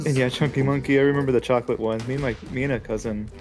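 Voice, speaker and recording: A man's voice: medium pitch, steady tone, racing, a little mellow. A man from Texas chatting casually on a gaming stream, rambling and reading chat aloud. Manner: rambling, reads chat aloud, laughs often. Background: game music.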